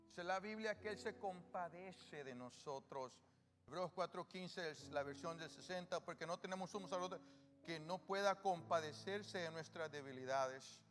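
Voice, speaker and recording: A man's voice: a little high, fairly bright tone. A male pastor with a Salvadoran Spanish accent, preaching a sermon and often asking his congregation questions that he answers himself. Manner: call-and-response questions to the congregation. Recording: reverberant church hall with music.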